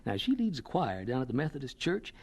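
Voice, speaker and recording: A friendly, folksy townsman in a radio drama. He coaxes with hesitant phrases and very animated, swinging intonation at a medium pitch. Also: a little fast, breathy edge. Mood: friendly, coaxing. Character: genial, persuasive married townsman, friendly to strangers.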